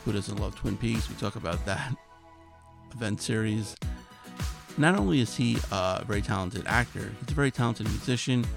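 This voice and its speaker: A male podcast host with a low, deeply gravelly voice, worn down because he is losing it. He talks in a chatty, eager way, with faint music underneath. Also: expressive, moderate pace. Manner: enthusiastic, chatty interviewer. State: losing his voice. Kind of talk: casual interview.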